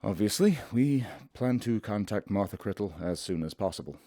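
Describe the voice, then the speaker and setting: Pompous low-voiced actor as space professor. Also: male voice, expressive, normal speed, natural voice. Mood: self-important. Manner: pompous, wordy. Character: self-satisfied male professor, a scientist aboard a spaceship.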